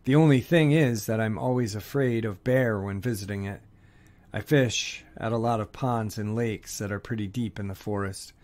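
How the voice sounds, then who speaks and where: A man narrating listeners' first-person encounter stories in a steady, measured read. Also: fairly low pitch.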